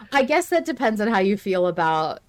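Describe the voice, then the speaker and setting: A woman's voice: mid-range voice, very animated, unhurried. A woman hosting a podcast in casual discussion, thinking aloud and hedging her opinions as she goes. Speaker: podcast host.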